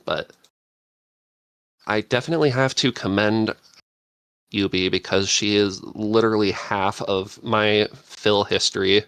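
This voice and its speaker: A man's voice: on the low side, fairly bright tone, clean tone, no room sound. A man chatting casually as a panelist, rambling and searching for words. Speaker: podcast panelist and scriptwriter. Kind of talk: casual chat.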